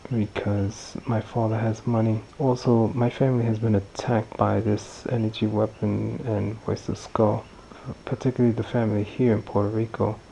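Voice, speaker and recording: A man's voice: lowish voice, level tone, normal speed, gravelly, dark tone, slight background noise, low-quality recording. A man recording a spoken diary-style audio log, rambling and repeating and correcting himself as he goes. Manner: rambling, repetitive, self-correcting.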